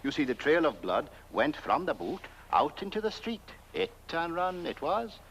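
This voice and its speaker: An actor playing a chatty, self-important English village constable in a comic film, rambling and hesitant, with a British accent. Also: male voice, fairly high pitch, sing-song, moderate pace, a bit bright. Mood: pompous, matter-of-fact. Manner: rambling, pompous, hesitant with repeated phrases. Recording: dull, slightly noisy old film soundtrack.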